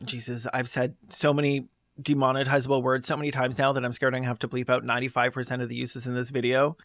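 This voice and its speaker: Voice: mid-range voice, gravelly, fairly bright tone, no echo, studio quality. A video essayist delivering scathing, sarcastic commentary in a blunt way, moving between scripted narration and asides.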